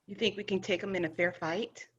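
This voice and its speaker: A woman's voice: mid-range voice, fairly even intonation, brisk, dull audio, slight background noise, natural voice. A woman playing a feisty, stubborn young scout with a defiant, sassy manner. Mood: practical, bold.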